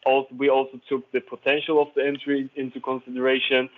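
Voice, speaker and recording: A man's voice: medium pitch, monotone, fast. Man hosting, tinny phone-like sound. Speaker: host. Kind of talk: casual group discussion.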